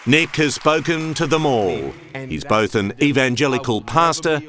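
A man reading a measured, polished TV news feature narration. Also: medium pitch, expressive, a crowd in the background. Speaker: reporter, narrator. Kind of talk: scripted TV narration.

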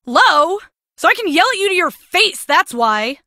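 Game casting: vain, combative young female singer contestant, high and bright, wildly animated. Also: measured pace, dry recording, studio quality, full character voice. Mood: boastful, combative. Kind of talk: scripted video game voice acting.

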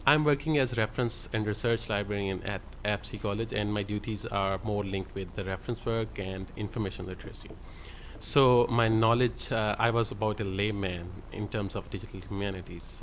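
A man's voice: lowish voice, slight background noise. A man speaking on a conference panel as a librarian, hesitant with many fillers. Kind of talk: panel presentation. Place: conference hall panel table. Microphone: table microphone.

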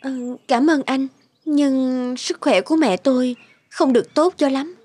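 A woman's voice: high voice, measured pace, a little mellow, husky. A woman playing a humble, kind young housekeeper in a cartoon, speaking politely and deferentially. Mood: polite, subdued. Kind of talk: Vietnamese cartoon voice acting. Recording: music behind the voice.

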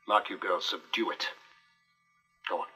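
A man's voice: sits low, steady tone, measured pace, sharp tone, slightly rough, full character voice. An actor playing a stern, domineering Puritan father in a period film, commanding and archaic in speech. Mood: solemn, instructing. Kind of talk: period drama film acting. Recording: old educational film soundtrack with music.

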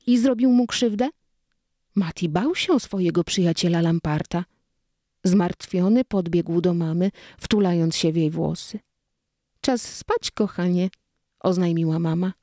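A woman's voice: lowish voice, dark tone, no room sound, clean studio sound. A woman narrating a children's story expressively, acting out the dialogue.

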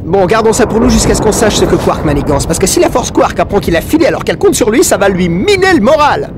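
A young, lively alien hero. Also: male voice, high-pitched, fast, noisy background. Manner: casual, slangy, energetic. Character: young male furry alien mechanic hero. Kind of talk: video game dub.

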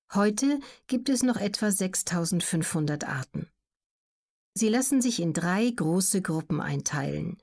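A woman reading scripted educational narration as an audiobook narrator, in a measured, clearly articulated way. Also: mid-range voice, a little mellow.

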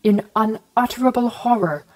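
A woman giving a solemn, theatrical, drawn-out dramatic reading of literary prose. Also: a little high, darkish tone, rough recording. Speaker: story reader.

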